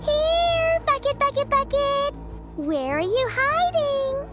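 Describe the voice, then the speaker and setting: A tiny fairy companion with an extremely high, squeaky childlike voice that bounces widely. She is curious and blunt in an anime game, with music playing clearly behind her. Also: expressive, takes it slowly, exaggerated voice. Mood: searching, impatient.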